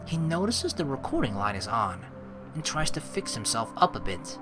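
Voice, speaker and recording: Lively young man narrating a playthrough. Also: medium pitch, moderate pace, music in the background. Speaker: let's play host. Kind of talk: reading game narration aloud with casual commentary.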